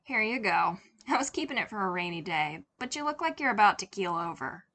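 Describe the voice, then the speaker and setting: A woman's voice: medium pitch, expressive, fairly quick, sharp tone. A woman voicing a kindly, blunt older lady with a colloquial, teasing way of talking. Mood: fond, teasing.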